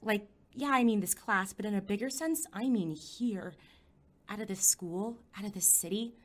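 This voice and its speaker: A young woman acting a wry, anxious teenage high school student in a scripted audio drama, talking conversationally with hesitant asides. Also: normal speed, natural voice. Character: teenage girl, sarcastic, anxious, determined high school junior.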